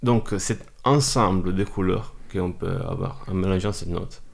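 A man's voice: sits low, measured pace, gravelly. A man whose first language is Italian, a musician guest speaking hesitantly and searching for words in an interview. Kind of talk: casual interview talk.

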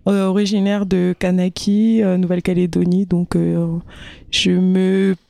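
A woman singer and musician from France, interviewed, speaking hesitantly with many pauses and fillers. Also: on the low side, flat delivery, creaky, mellow, no room sound. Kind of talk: casual interview.

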